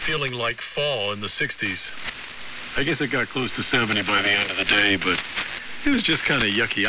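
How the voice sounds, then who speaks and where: American man, casual ham radio talk, dull narrow AM sound, clearly audible static. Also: fairly low pitch. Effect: AM shortwave radio. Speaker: amateur radio operator. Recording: muffled, noisy AM shortwave radio.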